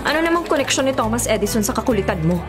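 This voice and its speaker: A woman's voice: a little high, sing-song, very fast, over music. An actress playing a bitter, stubborn young woman in a Filipino radio drama, sarcastic and exasperated.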